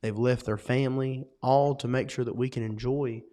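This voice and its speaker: An earnest young man with a Western North Carolina twang, co-hosting a laid-back podcast. He talks in long, rambling, animated runs. His voice sits at an everyday middle pitch. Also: moderate pace. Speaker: co-host. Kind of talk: casual podcast chat.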